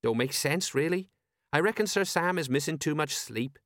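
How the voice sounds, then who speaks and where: A man's voice: on the high side, lively intonation, normal speed, fairly bright tone, no room sound, studio quality, pitched above the performer's own voice. A man voicing a simple, amiable, slightly pompous police sergeant in an audiobook, with colloquial, uneducated phrasing. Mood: worried, self-important.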